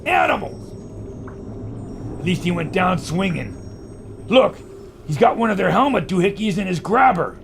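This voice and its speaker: An actor playing an excitable, blunt adventurer, exclamatory and combative, in a pulp radio adventure. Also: male voice, high-pitched, moderate pace, gravelly, long pauses, music in the background, exaggerated voice. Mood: agitated. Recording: loud storm and ambient noise.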